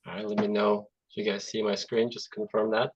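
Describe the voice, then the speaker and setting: Man, 40s, first language Russian, low voice, casual guest talk, lo-fi video call sound. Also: a little fast, slightly rough. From Azerbaijan. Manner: relaxed, chatty, many fillers and restarts. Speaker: guest speaker, software engineer. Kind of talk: presentation over video call. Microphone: computer mic on video call.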